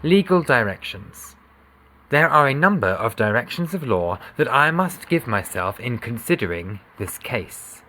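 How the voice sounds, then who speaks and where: A man giving a sober, measured, formal reading of a scripted legal document. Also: medium pitch, sing-song, sharp tone, rough recording. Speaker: voice reader of a court judgment.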